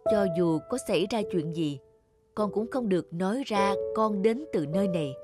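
A woman's voice: mid-range voice, animated, moderate pace, music in the background, lightly acted. Woman playing a solemn, mysterious foster mother. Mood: grave. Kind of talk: animated fairy tale acting.

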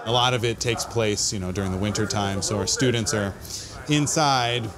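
A man's voice: fairly low pitch, normal speed, a crowd in the background. A man in his thirties, a school farm manager, explaining the farm in an easygoing interview outdoors.

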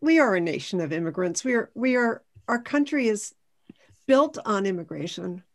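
A woman speaking as a scholar on a video-call panel, making her points emphatically and deliberately without a script. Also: medium pitch, animated. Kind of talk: unscripted panel discussion.

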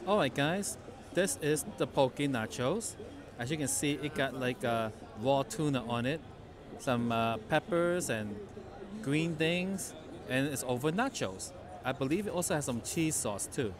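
A man's voice: mid-range voice, lively intonation, unhurried. A middle-aged man chatting casually to camera as a food vlogger, laid-back and addressing viewers directly. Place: indoor sports bar restaurant. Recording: busy restaurant chatter.

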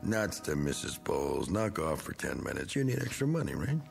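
A gruff, deep-voiced man. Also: very animated, normal speed, faint music behind, full character voice. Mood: pushy. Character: gruff middle-aged man clearing out his attic. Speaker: actor in a TV drama. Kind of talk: 1980s TV drama acting.

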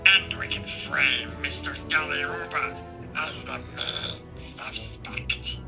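Casting: gloating, self-important villain overlord for parody cartoon , male actor, slightly high, unhurried, music behind. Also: creaky. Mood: gloating scheming. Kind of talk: amateur parody cartoon dub. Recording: dull audio under loud music.

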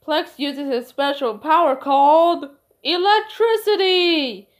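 Woman as an upbeat, high-voiced kids' presenter. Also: slow pace. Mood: friendly, explaining. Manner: simple explaining to young children. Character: upbeat, friendly children's show presenter. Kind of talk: scripted children's narration.

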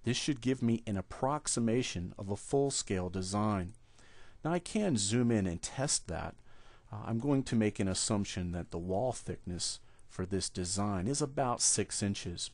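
A man explaining software steps one at a time in a screen-recorded tutorial, speaking at his computer. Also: lowish voice, normal speed, dry recording. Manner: steady step-by-step explaining. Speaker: software trainer.

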